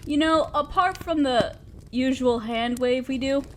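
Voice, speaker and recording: A high, unhurried young female voice with noticeable background ambience behind it. A woman acts out a shy, kind neighbor in a roleplay audio drama, hesitant and awkward, rambling a little as she talks one-on-one. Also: slight background noise. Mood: friendly, curious. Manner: hesitant, soft-spoken, rambling, self-deprecating. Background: outdoor fire ambience.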